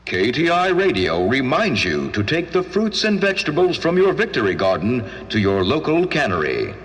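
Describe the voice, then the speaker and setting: A man's voice: medium pitch, wildly swooping pitch, moderate pace, a bit bright. A man reading announcements in formal 1940s radio style. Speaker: station announcer. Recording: vintage radio with hall reverb.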